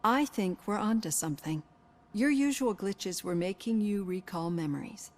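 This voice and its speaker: An actress playing a composed, analytical psychologist questioning a patient in video game dialogue. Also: female voice, mid-range voice, moderate pace, darkish tone, close to an everyday voice. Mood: reassuring, analytical. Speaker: therapist.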